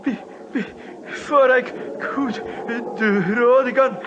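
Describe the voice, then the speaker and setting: A high, slow, halting voice: a wounded Sherpa guide in a 1950s radio play, resigned and pleading through pain. He speaks formal broken English, over a very noisy old recording. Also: fairly even intonation, lo-fi sound. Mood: pained, frightened. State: in pain, exhausted. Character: badly injured, devoted male Sherpa guide, resigned and pleading. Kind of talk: 1950s radio drama acting.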